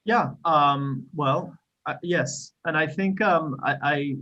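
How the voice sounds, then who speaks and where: Male panelist, Israeli accent, measured and thoughtful, somewhat dull recording. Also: on the high side, bright, forceful tone. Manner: thoughtful, measured with hesitant restarts. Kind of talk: panel discussion.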